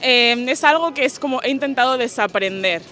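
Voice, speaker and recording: A woman's voice: high-pitched, expressive, fairly quick, pushed and bright. A young woman from Spain answering street interview questions in a thoughtful, casual and slangy way. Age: young adult.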